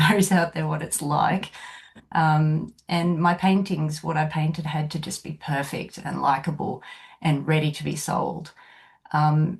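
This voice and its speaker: An Australian woman with a very low, gravelly voice introducing herself on a video call. She talks in a chatty, personal way, with light laughs. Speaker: illustrator guest.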